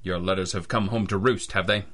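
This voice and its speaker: Actor as hard-boiled gumshoe, very low voice with a hard bright edge, wisecracking, radio noir. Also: male voice, fairly even intonation, fairly quick. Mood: tough, steady. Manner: tough, wry, gruffly reassuring. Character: hard-boiled, wisecracking male private eye. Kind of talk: old-time radio detective drama acting and narration.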